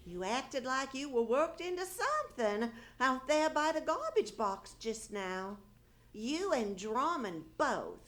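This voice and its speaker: Actress as high-pitched, animated radio housewife. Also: female voice, takes it slowly, exaggerated voice. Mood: skeptical, probing. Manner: skeptical, puzzled questioning. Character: naive, nagging, good-humoured housewife and mother. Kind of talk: 1940s radio comedy acting, live on stage. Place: live theatre stage.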